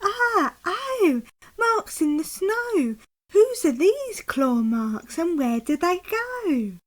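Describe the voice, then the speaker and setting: A woman voicing a young female monster child in a storybook reading, with a high, eager voice full of curiosity. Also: sing-song, extremely slow, heavily acted. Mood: curious.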